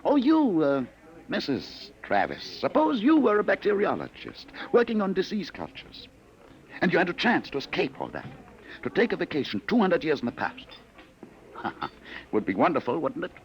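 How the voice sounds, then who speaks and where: An actor playing a sinister male searcher from the future in a 1950s radio drama, taunting his targets with smooth, insinuating salesman patter. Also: on the high side, huge pitch swings, moderate pace, low-quality recording. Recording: old radio broadcast with faint hiss.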